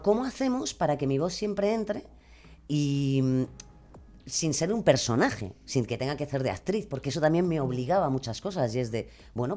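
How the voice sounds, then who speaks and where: Spanish woman from spain on a podcast, casual and expressive, explaining how she does her narrating. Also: low voice. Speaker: podcast guest, true crime narrator and creator. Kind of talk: casual conversational explanation of craft.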